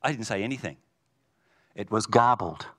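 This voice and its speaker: A man preaching a sermon in a booming voice, swinging from loud declarations to hushed lines. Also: expressive, moderate pace.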